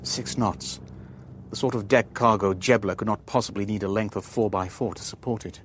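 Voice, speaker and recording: Actor as low-voiced, weary shipping owner. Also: male voice, fairly even intonation, moderate pace, slight background noise, close to an everyday voice. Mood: grave, reflective. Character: weary, shrewd shipping owner narrating his own spy ordeal. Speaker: narrator and lead character. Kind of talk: radio drama, first-person narration and dialogue.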